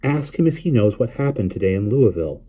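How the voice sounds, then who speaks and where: A man's voice: on the low side, big pitch swings, fast talker, mellow, breathy edge, pitched above the performer's own voice. A man voicing an anxious, firm mother in an audiobook story, speaking in an urgent, imploring way. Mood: urgent, hushed. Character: anxious, firm mother, adult woman, urging her son.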